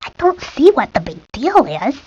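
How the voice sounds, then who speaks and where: A woman's voice: high voice, wildly swooping pitch, moderate pace, darkish tone, breathy edge, no echo, full character voice. A woman voicing a chatty, outdoorsy young girl in a cartoon video game. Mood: chatty, earnest. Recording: muffled lo-fi game audio.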